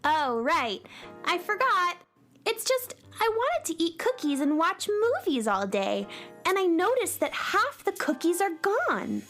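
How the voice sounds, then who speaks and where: A woman's voice: extremely high pitch, big pitch swings, unhurried, fairly bright tone, soft background music, exaggerated voice. A woman playing a perky, sweet young space cowgirl in a children's radio drama, gushing with wide-eyed enthusiasm. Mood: eager.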